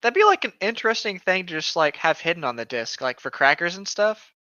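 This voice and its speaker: Man, bright forceful voice, sarcastic, sweary, casual read-aloud commentary, dry but slightly lo-fi mic. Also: sits high, big pitch swings, fairly quick, no echo. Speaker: co-host. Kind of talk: casual comedic commentary and read-aloud of internet horror stories.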